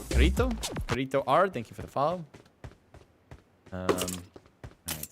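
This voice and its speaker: A man hosts a live esports stream over clearly audible music. His voice sits at an average pitch for a male speaker. He rambles casually between commentary and chat, often poking fun at himself. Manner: rambling, self-deprecating. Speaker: esports livestream caster. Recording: music behind the voice.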